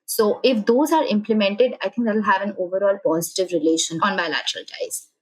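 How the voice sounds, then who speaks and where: A woman's voice: mid-range voice, fairly quick, low-quality recording. A woman from India with an Indian accent, speaking as an analytical podcast guest and explaining things with frequent checking tags. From Calcutta, India. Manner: explanatory, often checks with "right?".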